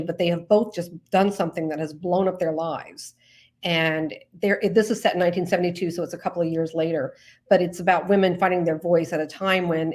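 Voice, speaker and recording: Slightly low-voiced woman, casual podcast guest. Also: a little mellow. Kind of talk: casual interview chat.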